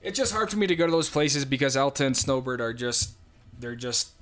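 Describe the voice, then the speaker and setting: A young man with a New York accent, casually answering viewer questions on a livestream with a relaxed, rambling manner and frequent fillers. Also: medium pitch, a little fast, soft background music. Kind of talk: casual live chat.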